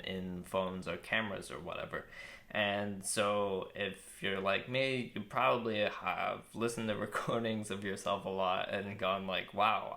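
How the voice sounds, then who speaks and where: A young man with a very low voice casually reviewing a microphone outdoors, relaxed and thoughtful, with frequent ums and uhs. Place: outdoors with birds nearby. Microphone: shotgun mic on phone.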